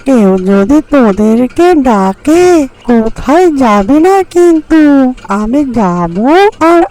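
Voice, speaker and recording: Woman playing a high-pitched protective mother duck. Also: animated, slow pace, mellow. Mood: caring, cautioning. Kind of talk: animated fairy-tale cartoon dub.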